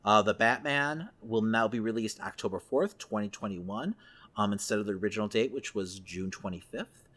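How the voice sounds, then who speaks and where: A man's voice: fairly low pitch, normal speed, fairly bright tone. A man co-hosting a podcast, chatting casually through entertainment news. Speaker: podcast co-host.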